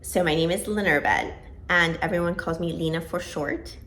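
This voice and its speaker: A woman's voice: lowish voice, normal speed, a bit bright, slight background noise. A woman about to turn forty, born in Venezuela and raised in Georgia, chatting casually to camera for a home vlog in a rambling, self-deprecating way. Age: 30s. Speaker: vlogger.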